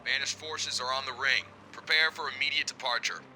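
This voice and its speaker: A man's voice: mid-range voice, fairly even intonation, brisk, bright, forceful tone, a little hiss or hum, low-quality recording. A man playing an authoritative Marine captain in an audio drama. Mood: urgent, commanding. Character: authoritative male Marine captain giving brisk orders.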